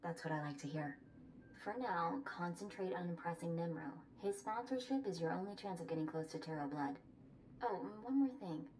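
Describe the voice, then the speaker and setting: An actress as a confident woman handler in a video game, her voice on the low side. She briefs briskly and plainly, a little far from the mic over faint noise. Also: lively intonation, a little mellow, lightly acted. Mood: businesslike. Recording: slightly distant, faint background noise.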